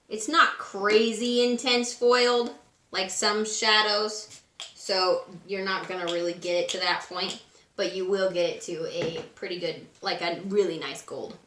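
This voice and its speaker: A woman with a raspy voice today, casually talking through a makeup tutorial at home. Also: medium pitch, echoey room. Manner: chatty, relaxed and instructive, with frequent fillers. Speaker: beauty vlogger. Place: room at home.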